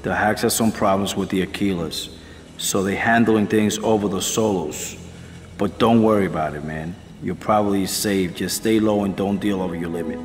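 A man's voice: sits low, fairly even intonation, moderate pace, natural voice. A man playing a blunt, streetwise, foul-mouthed prison inmate in a video game, talking casually. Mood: reassuring. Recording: long echoing reverb, faint music.

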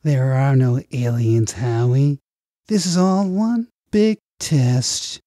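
A man voicing a manipulative, villainous male director in an audiobook, condescending and coaxing, with drawn-out phrasing. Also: mid-range voice, warm tone, no echo, clean studio sound, voice pushed up, lightly acted. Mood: dismissive.

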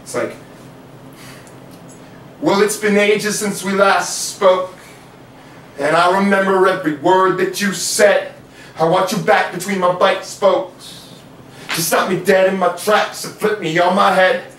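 Young guy doing spoken word at a house show, rhythmic rapping then soft rambling, bright high voice, echoey room with faint hiss. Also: steady tone, measured pace, long pauses, recorded from a distance. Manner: rhythmic rapped verses between soft, rambling chat. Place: living room of a house, small audience on couches. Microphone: far camera, no mic.

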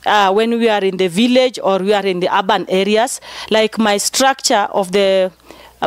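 A woman's voice: medium pitch, no echo. A middle-aged woman with a Kenyan accent, explaining her research in an interview to camera. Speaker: PhD researcher and nun. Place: inside a greenhouse.

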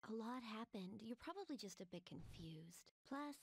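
An actress with an American accent playing a straight-talking, caring young waitress in a video game. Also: female voice, fairly high pitch, lively intonation, normal speed, slightly rough, no room sound. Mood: concerned. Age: young adult. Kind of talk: animated video game cutscene acting. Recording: game music behind the voice.

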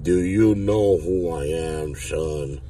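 A man's voice: a bass voice, slow, mellow, recorded from a distance, soft background music, pitched below the performer's own voice. A man in his thirties, seated in a car and talking into a phone, voicing a blustering redneck who claims to be a veteran, with a put-on Southern drawl and rambling, absurd talk. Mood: indignant. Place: inside a parked car. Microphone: phone held at the mouth.

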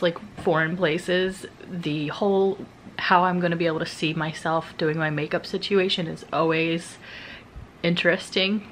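A woman's voice: on the low side, a little hiss or hum. A woman in her thirties chatting casually to a handheld camera in a bedroom, giggly and full of "like" and "um". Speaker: vlogger. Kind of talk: casual vlog chat to camera. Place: bedroom in a house. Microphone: handheld camera at arm's length.

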